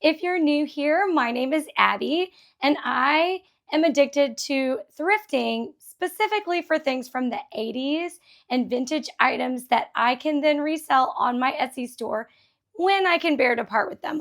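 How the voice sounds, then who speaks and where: Chatty high-voiced woman, slightly scratchy. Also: creaky, a bit bright. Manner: chatty and enthusiastic, laughs often. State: slightly scratchy voice after a concert. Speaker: vlog host. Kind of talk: casual thrift haul chat.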